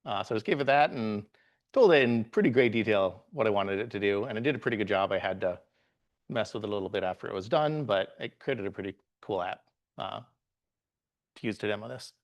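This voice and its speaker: A man in his forties, from the Bahamas, giving a live tech meetup talk as a guest presenter, relaxed and halting with frequent "uh"s and restarts. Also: lowish voice, lo-fi sound. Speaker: guest presenter, software engineer.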